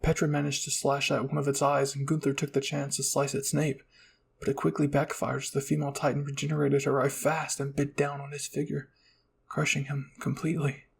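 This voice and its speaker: A man's voice: a little high, completely flat delivery, brisk, gravelly, breathy edge. A man narrating a scripted first-person roleplay story.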